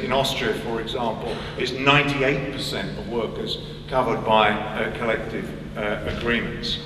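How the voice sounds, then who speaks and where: A man's voice: a little high, pushed and bright, slight background noise. Older man, emphatic speech in an echoing hall. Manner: emphatic, deliberate, with measured pauses and repetition. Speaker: speaker at a political conference. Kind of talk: public speech. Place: large hall on stage. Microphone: stand mic at a lectern, heard from a distance. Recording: echoey hall, distant mic.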